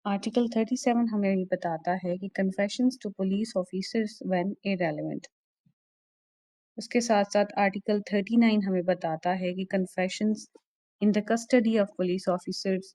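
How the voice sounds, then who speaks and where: A woman lecturer with a Pakistani accent and a soft, mellow tone at a medium pitch. She explains methodically, restating each point in other words. The recording is dry and close, with very little room sound. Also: no room sound. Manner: methodical, repeats and rephrases points. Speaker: law lecturer. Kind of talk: explanatory lecture, switching between Urdu and English.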